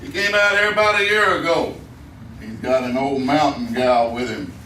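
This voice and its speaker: A man's voice: mid-range voice, moderate pace, bright, forceful tone, natural voice. An actor playing a blunt, menacing backwoods mountain man with a Southern mountain accent in an old-time radio western. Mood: grimly determined. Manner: blunt, folksy backwoods talk. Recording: roomy, distant old radio recording, noisy.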